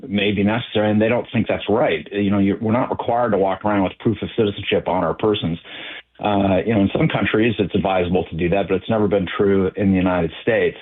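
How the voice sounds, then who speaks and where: Male legal guest by phone; low, deliberate, hesitant delivery, thin phone-line sound. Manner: measured, explanatory, halting with many fillers. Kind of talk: phone-in news interview. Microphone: telephone.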